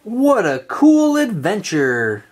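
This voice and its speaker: A woman reads a picture book aloud to young children, taking her time over each line. Her voice climbs and dips through a lively, sing-song melody, with a soft, breathy edge. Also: mid-range voice. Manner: slow, expressive, sing-song storybook delivery. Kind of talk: read-aloud storytelling for kids.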